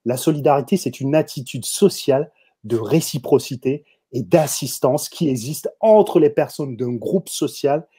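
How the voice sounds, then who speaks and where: A man with a Parisian accent speaks as a guest in a heated discussion. His voice is soft-toned and a little husky, and he hammers his points home as if lecturing the audience directly. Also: medium pitch, measured pace. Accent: Parisian French. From France. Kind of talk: opinionated discussion commentary.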